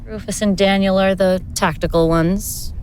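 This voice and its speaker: A woman playing a steady, comforting monster hunter in an audio drama, composed and reassuring. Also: mid-range voice, moderate pace, a little hiss or hum, natural voice. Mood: decisive.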